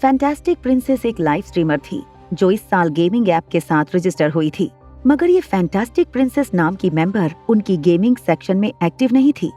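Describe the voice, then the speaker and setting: A woman's voice: mid-range voice, lively intonation, a little fast. A woman reading scripted, dramatic story narration as the narrator of an audio drama. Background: soft background music.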